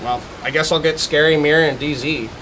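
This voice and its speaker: An actor playing a laid-back monster-gang member turned surf instructor in a campy comedy sketch, with hesitant, drawn-out musing. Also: male voice, a little high, fairly even intonation, fairly bright tone, slight background noise, lightly acted, rough recording. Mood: wistful.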